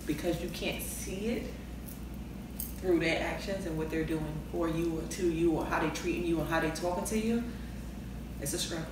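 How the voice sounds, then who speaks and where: A woman in her thirties speaks casually, as if among a group, telling stories from her own life. Her voice sits a little low. She is recorded from well off the mic in a heavily echoing room with clear background noise. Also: steady tone, moderate pace, recorded from a distance. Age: 30s. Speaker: panelist on a relationship talk show. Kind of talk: casual group chat, personal storytelling.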